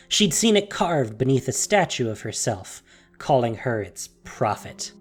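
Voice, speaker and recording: A man reading scripted fantasy narration in a measured, solemn, dramatic way. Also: medium pitch.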